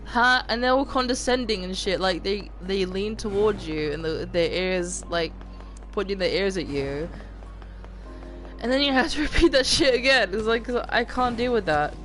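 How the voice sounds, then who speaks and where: Mumbling, monotone game streamer. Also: mid-range voice, moderate pace. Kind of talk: casual chat while gaming. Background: game music.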